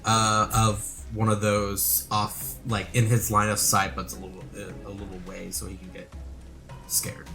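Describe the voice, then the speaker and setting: A man's voice: fairly low pitch, monotone, moderate pace, bright, forceful tone, soft background music. A man chatting casually as a player in a tabletop role-playing game.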